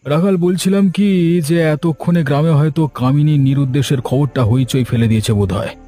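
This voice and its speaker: An actor playing a menacing, smug village killer in a cartoon, bullying and commanding. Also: male voice, on the high side, moderate pace, dark tone, faint music behind, natural voice. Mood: smug, scheming. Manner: threatening, commanding.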